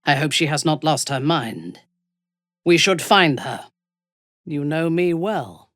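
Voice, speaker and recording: A woman playing a haughty, ruthless dark elf warrior in a video game, speaking in an imperious, deliberate, measured way. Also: low-pitched, animated, no room sound, studio quality, exaggerated voice. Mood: cool, measured. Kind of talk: dramatic video game character acting.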